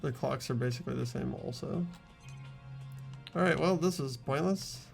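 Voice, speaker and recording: A man's voice: mid-range voice, normal speed, vocal fry, faint music behind. A young man hosting a group game playthrough, moving between reading narration and casual commentary.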